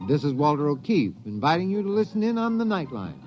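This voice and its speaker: A man's voice: on the high side, very animated, fast. A man hosting a 1950s radio show, giving a dramatic, inviting promo. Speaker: radio host. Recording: dull old radio broadcast with loud music.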